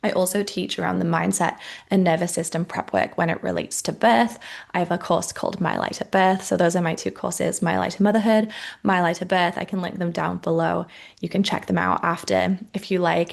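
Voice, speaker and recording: A woman in her thirties with a Welsh Canadian accent, talking gently and encouragingly to expecting mothers in a casual masterclass, with many likes and you knows. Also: lowish voice, fairly even intonation, fairly quick, gravelly. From Wales, raised in Canada. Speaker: motherhood coach and course founder. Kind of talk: casual masterclass talk to the viewer.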